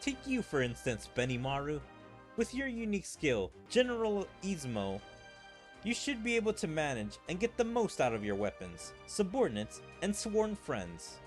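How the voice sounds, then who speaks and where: A man's voice: a little high, very animated, normal speed, soft background music. A man streaming a game, reading its dialogue aloud in a theatrical way and adding his own commentary. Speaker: gaming streamer.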